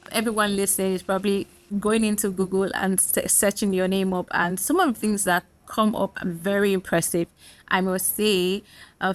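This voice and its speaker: This is an upbeat Nigerian woman hosting a podcast interview in a chatty, friendly way. Her voice sits at a middle pitch for a woman. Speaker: podcast host.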